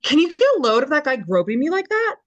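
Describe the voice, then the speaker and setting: A woman playing a chipper, sardonic reality show host in a scripted table read, presenting brightly and slipping into sarcastic asides. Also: high-pitched, big pitch swings, fast. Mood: flustered.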